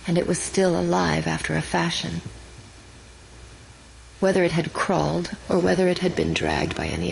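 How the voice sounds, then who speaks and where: A woman's voice: deep voice, creaky, a little hiss or hum, rough recording. A woman narrating a horror story as a scripted, dramatic audiobook reading.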